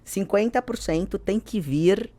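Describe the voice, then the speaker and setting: A woman's voice: medium pitch, a little slow, warm tone. A Brazilian woman speaking as an executive guest on a podcast, explaining emphatically and checking in with little tag questions. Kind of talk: podcast interview conversation.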